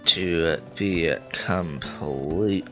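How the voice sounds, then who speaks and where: Guy with a stuffed-up nose rambling slow over a game, lots of uhs. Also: low-pitched, soft background music. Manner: rambling, many filler sounds. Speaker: let's play host. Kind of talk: casual gameplay commentary.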